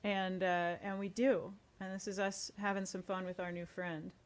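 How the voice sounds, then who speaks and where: A woman's voice: mid-range voice, flat delivery, moderate pace. Casual woman guest with mid-range voice. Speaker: podcast guest, audio comedy co-creator. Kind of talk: casual chat.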